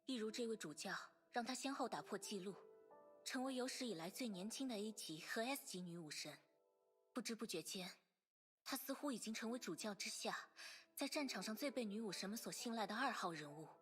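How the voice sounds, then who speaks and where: A woman acting as a composed storyteller narrates a video game tale in a scripted, measured style. Her voice sits at a medium pitch, with faint music underneath. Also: lively intonation, close to an everyday voice. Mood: composed, solemn.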